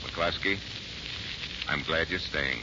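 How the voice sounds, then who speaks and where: An actor playing a steady, grave Marine major in a 1940s radio drama, speaking with measured authority. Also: male voice, a bass voice. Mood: warm, firm. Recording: muffled, crackly 1940s radio recording, heavy hiss.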